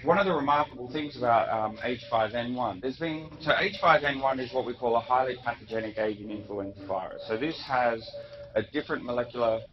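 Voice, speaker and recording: A man giving an academic lecture, unhurried, with frequent ums and self-corrections. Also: medium pitch. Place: lecture hall. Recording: muffled echoey hall recording from a distance.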